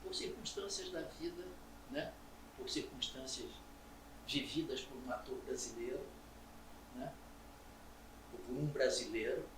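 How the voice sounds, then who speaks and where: An older man from Salvador, a stage actor telling his story in an interview in a measured, reflective way. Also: mid-range voice, noisy background. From Brazil, Salvador. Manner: measured, reflective, with frequent pauses and "né?". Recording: roomy echo, distant mic, audible ambience.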